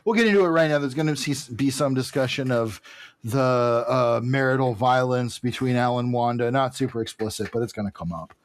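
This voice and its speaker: A man's voice: mid-range voice, fairly quick, no echo. A man co-hosting a podcast in casual discussion, talking in a hedging, discursive way that often corrects itself. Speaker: podcast co-host. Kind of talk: casual comic book discussion.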